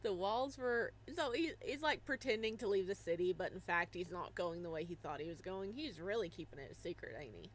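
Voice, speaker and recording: A woman's voice: on the low side, brisk, hardly any pauses, slight background noise. Woman reading a visual novel aloud. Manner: animated, laughing exclamations between narration.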